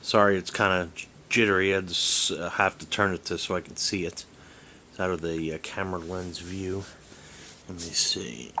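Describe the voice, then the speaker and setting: Low-voiced man rambling through a casual demo. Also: normal speed, pushed and bright. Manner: rambling, hesitant, thinking aloud. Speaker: amateur reviewer and radio hobbyist. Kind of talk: casual hands-on app demonstration. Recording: hissy amateur camera recording.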